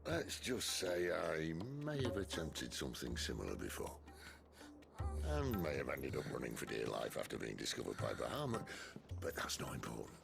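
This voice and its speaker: An actor playing a wry, defiant middle-aged outlaw leader in a video game, with a gravelly, theatrical drawl. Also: male voice, extremely low pitch, wildly swooping pitch, moderate pace, exaggerated voice. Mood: wry, teasing. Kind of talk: video game cutscene acting. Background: music.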